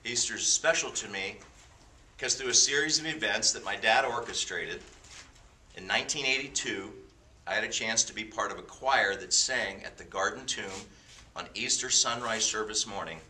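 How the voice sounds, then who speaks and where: Bright-voiced man preaching from a distance. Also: on the low side, moderate pace, a little hiss or hum. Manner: jokey, self-deprecating asides. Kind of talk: informal sermon with personal stories. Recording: roomy sound from away from the mic.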